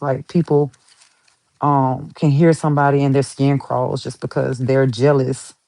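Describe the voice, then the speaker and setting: A woman's voice: very deep voice, mellow, a little hiss or hum. A woman giving a casual tarot reading to her viewers, chatty and emphatic, swearing casually and repeating herself for emphasis. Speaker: tarot reader.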